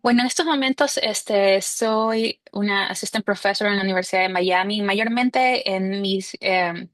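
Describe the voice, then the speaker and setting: A woman from Ecuador with an Ecuadorian Spanish accent, a cardiologist answering interview questions in a measured way, often saying "este" and slipping in English medical terms. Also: mid-range voice, fairly bright tone, no room sound. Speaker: cardiologist guest.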